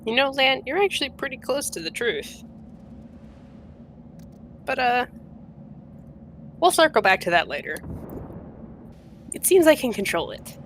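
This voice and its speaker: A woman's voice: high-pitched, big pitch swings, unhurried, pauses often, fairly bright tone, a little hiss or hum. A woman playing through a video game, reading narration aloud with playful, dry asides, music playing behind her. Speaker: let's player.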